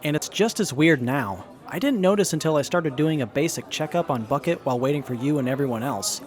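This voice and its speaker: A man's voice: on the high side, lively intonation, a little fast, natural voice. A man playing an earnest young tinkerer. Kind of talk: radio drama acting.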